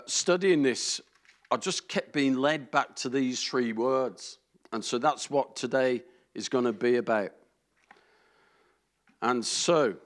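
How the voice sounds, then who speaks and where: Chatty preaching man. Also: mid-range voice. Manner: conversational, peppered with hallelujahs and light jokes. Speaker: pastor. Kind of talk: informal sermon.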